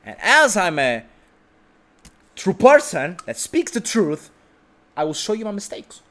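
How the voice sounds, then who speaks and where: A confident man talks straight to camera from his sofa at home, brash and sure of himself. His voice sits a little high and swings widely in pitch as he rambles, restarting sentences and tossing in the occasional swear word. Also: big pitch swings, measured pace, sharp tone. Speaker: vlog host. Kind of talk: unedited casual monologue.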